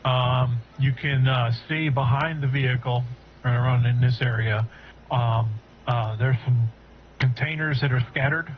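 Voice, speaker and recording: Male game master, mid pitch, stop-start narration, dull lo-fi sound. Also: steady tone, slight background noise. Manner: halting, with many fillers and restarts. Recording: dull lo-fi audio.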